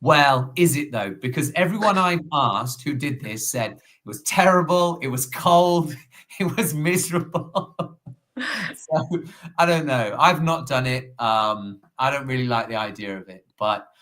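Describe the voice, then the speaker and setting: Slow, deliberate man with a lively pitch. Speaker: co-host, quiz presenter. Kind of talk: casual live-stream chat, reading quiz questions.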